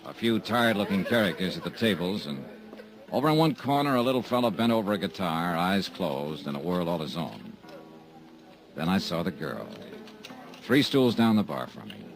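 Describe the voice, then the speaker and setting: A man's voice: low-pitched, big pitch swings, normal speed, creaky, over music, rough recording. A middle-aged man playing an insurance investigator, narrating a 1950s radio drama in a dry, wry, hard-boiled manner.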